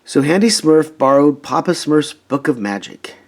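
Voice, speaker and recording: A man reading a children's storybook aloud in an expressive, emphatic way. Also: on the high side, moderate pace. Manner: animated, emphatic, dramatizing story moments. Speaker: storybook reader.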